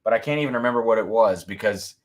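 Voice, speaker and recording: A man hosting a whiskey-tasting livestream, talking loudly and excitedly, laughing often and reading viewer comments aloud into a boom mic. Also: fast talker. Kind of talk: casual live-stream chat. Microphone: boom mic moved back from mouth.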